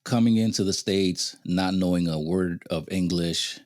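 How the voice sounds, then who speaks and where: A man in his thirties with a deep, low voice tells his life story as an interview guest, laughing easily as he goes. He grew up in Haiti and Venezuela. The sound is close and dry, with no room around it. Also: a little slow, darkish tone, dry recording. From Haiti, born in Venezuela. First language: Haitian Creole or Spanish. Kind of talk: casual interview conversation.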